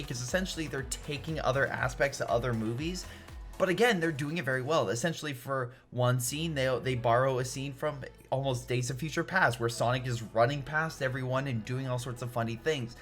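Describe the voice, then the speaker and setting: A man's voice: mid-range voice, a bit bright. A man giving a casual, opinionated film review in an informal, emphatic, rambling way. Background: background music.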